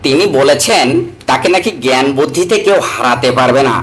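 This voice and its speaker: A man's voice: mid-range voice, animated, a little fast, over music, close to an everyday voice. A man playing a deferential village messenger in a cartoon, polite and coaxing. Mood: pleading. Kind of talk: cartoon character acting.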